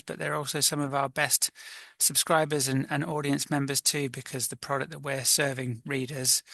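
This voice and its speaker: A man from mid Wales, a magazine editor answering interview questions in a thoughtful, measured way with frequent fillers. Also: on the high side, creaky, bright, forceful tone, dry recording, clean studio sound.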